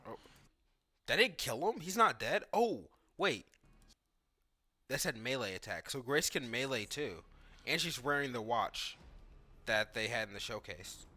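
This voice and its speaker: A man's voice: mid-range voice, sing-song, measured pace, long pauses, sharp tone. A man hosting a gaming video, giving casual commentary and thinking aloud in an informal way.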